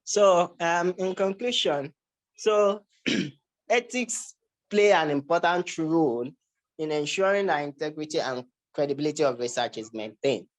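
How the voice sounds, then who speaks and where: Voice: medium pitch, unhurried. A young adult from Nigeria with a Nigerian accent, giving an academic slide presentation and often repeating words and restarting phrases. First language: Yoruba. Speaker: research presenter.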